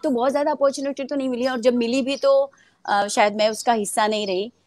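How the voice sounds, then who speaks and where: A woman's voice: on the high side, fairly even intonation, brisk, darkish tone, dry recording, clean studio sound. Chatty young woman from Mumbai. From Mumbai, India. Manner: chatty, laughs often, many hesitations. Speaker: actress, interview guest. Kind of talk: casual interview chat.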